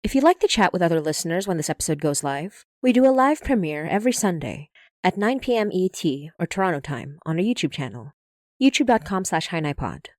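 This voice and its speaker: A woman reads scripted end credits as a podcast's showrunner. Her pitch sits a little low. The sound is close and very dry, with no room around it. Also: very animated, a little fast, no echo.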